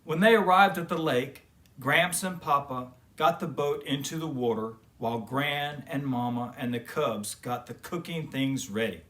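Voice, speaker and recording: A man reading a children's story aloud as expressive narration. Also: mid-range voice, unhurried. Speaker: children's story reader and host.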